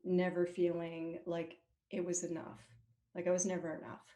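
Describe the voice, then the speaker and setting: A woman of about fifty talking as a guest, open and animated, quick to laugh. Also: fairly low pitch. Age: 50s. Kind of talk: casual conversation.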